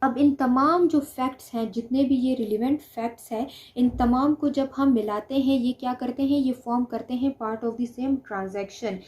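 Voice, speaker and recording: Woman, higher-pitched, soft mellow tone, didactic lecturer, question-and-answer explaining. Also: normal speed, hardly any pauses. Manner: didactic, poses questions and answers them herself; repeats key phrases for emphasis. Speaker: law lecturer. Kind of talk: explanatory lecture with simple examples.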